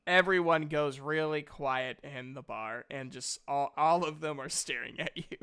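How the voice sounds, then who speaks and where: A man's voice: mid-range voice, unhurried, fairly bright tone, clean tone. A man narrating a tabletop roleplaying game as game master, explaining things in a hesitant, improvised way.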